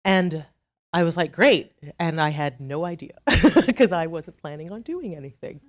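A woman's voice: on the low side, animated, a little slow. Trinidadian woman telling her story on stage. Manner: chatty, self-deprecating storytelling with asides. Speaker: conference speaker. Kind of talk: conference talk. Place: conference hall.

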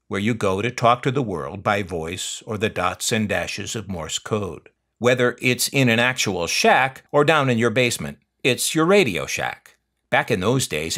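An older man with a low-pitched voice tells stories in a casual, folksy way. His intonation rises and falls widely, and he leans on certain phrases with wry, drawn-out emphasis. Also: fairly bright tone. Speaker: narrator, vintage electronics collector. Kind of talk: casual reminiscing narration over catalog pages.

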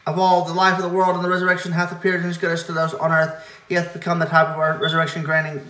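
Man, fairly high voice, flat monotone liturgical reading, fast, roomy echo. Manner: quick, steady recitation with stumbles and self-corrections. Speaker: reader leading a church service. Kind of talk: liturgical chanting of hymn verses.

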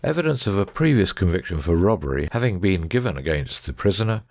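A man's voice: low voice, big pitch swings, fast talker, a little mellow, dry recording, clean studio sound, heavily acted. A man playing a brilliant, self-assured detective in a dramatic audiobook, speaking in a precise, didactic way. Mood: reading aloud.

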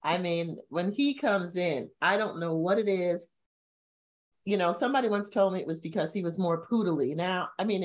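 Middle-aged lady on a webcam at home, a bit low-voiced, animated, pitch leaps way up when she gets into a story. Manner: animated storytelling with sudden high-pitched emphasis. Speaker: co-host. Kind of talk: casual video-call chat. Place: home room, indoors. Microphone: video call webcam.

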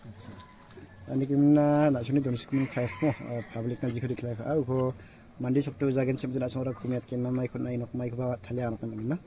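A man interviewing outdoors by a pond, asking brisk questions and often acknowledging with "acha". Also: mid-range voice, flat delivery, dark tone. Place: outdoors by a rural fish pond.

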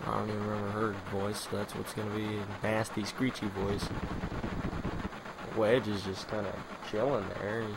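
A man's voice: lowish voice, little pitch movement, normal speed, creaky, background noise. A young man giving casual, rambling gameplay commentary with jokey mock bravado. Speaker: let's play commentator. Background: video game music.

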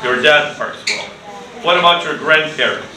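A middle-aged man teaches a children's class in a roomy, noisy classroom, heard from some distance. His voice is on the higher side, and he uses short, simple phrases and questions to involve the pupils. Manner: slow, deliberate, simple phrasing with repeated questions. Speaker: ESL teacher. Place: school classroom, indoors. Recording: roomy, distant classroom recording with clear noise.

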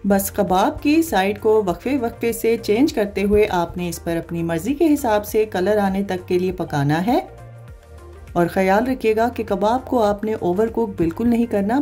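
A woman hosting a cooking channel, calmly explaining each recipe step to viewers in a voice-over. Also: mid-range voice. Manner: calm step-by-step explaining, addresses viewers directly. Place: voice-over, not recorded in the pictured kitchen.

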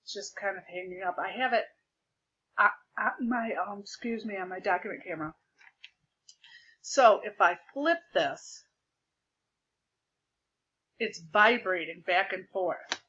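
Woman teacher with a loud, bright voice. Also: medium pitch, unhurried, pauses often, muffled recording. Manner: loud projected voice; explains step by step, repeats key points. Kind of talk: remote classroom lesson. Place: home desk with a document camera. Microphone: computer mic on a video call.

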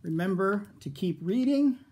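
Middle-aged man reading aloud, mellow voice. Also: high-pitched, lively intonation, normal speed. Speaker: school storytime reader. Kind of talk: expressive picture-book read-aloud for children. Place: indoors in a home room. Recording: home recording with background noise.

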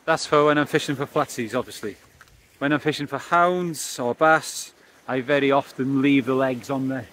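A man's voice: mid-range voice, normal speed, fairly bright tone, a little hiss or hum. A middle-aged man chatting to the camera on a pebbly beach by the sea, explaining his fishing as he works. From Wales. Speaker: fishing vlogger. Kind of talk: casual chat to camera. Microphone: camera close up, held or on a stand.

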